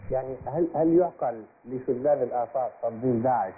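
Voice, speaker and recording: Fast-talking man on tinny radio. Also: mid-range voice, husky. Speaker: military officer being interviewed. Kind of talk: spoken interview answers. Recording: tinny, noisy shortwave radio relay.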